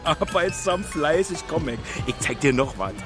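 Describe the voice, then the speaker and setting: A man's voice: a little high, expressive, moderate pace, natural voice. An actor playing a bitterly mocking Berlin working man in a radio drama, with a local accent and a sneering, colloquial delivery. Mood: sarcastic. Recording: music behind the dialogue.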